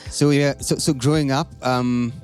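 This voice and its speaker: A young man, a stand-up comedian, chats as a podcast guest in an ordinary mid-range voice. He tells stories loosely, with slangy asides and quick restarts. Faint music plays in the background. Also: medium pitch, steady tone, darkish tone. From Kenya. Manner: laid-back slangy storytelling with lots of "yo" and "man", many restarts. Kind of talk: casual storytelling chat.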